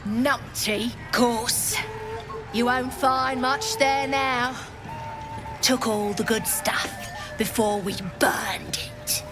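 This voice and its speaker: A woman's voice: on the high side, fairly even intonation, drawn-out words, music in the background. A woman playing a coarse, hard-drinking goblin raider in a video game, with an English working-class accent. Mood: matey, bragging. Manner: coarse, matey. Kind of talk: video game character acting.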